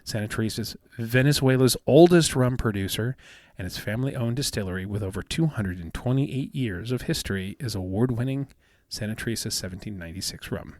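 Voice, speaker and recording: A man in his fifties with a soothing, smooth voice, chatting casually as a podcast co-host and rambling with many fillers and restarts. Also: on the low side, brisk, a little mellow, no echo, studio quality. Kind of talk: casual chat.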